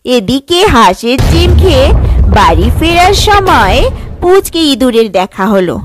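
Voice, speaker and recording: High-pitched, animated woman storyteller. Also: a little slow, over music. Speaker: narrator. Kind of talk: scripted children's fairy-tale narration.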